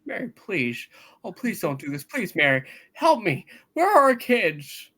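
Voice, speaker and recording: A woman's voice: mid-range voice, big pitch swings, a little slow, a bit bright. A woman playing a distraught, desperate family man in a hammed-up radio play reading. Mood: desperate pleading.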